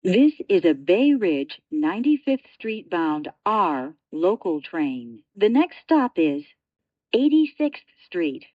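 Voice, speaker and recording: A woman's voice: on the low side, sing-song, slow, no room sound. A woman reading scripted automated train announcements in clipped, segmented phrases, as a subway announcer. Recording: dull lo-fi transit announcement audio.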